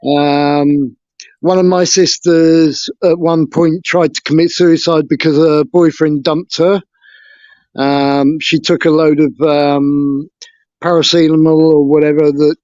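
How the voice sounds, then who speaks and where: A man with a somewhat high voice tells his story in a frank, hesitant way. The sound is dry and dull, like lo-fi call audio. He speaks as an interview guest on a video call. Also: little pitch movement, a little slow, a little mellow, no room sound. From England. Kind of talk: casual interview talk.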